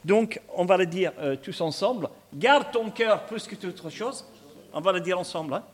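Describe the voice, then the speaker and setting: Scottish pastor preaching in French, high voice. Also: male voice, lively intonation, normal speed. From Scotland. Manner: warm, chatty preaching with humor, many "hein" asides. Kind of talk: sermon.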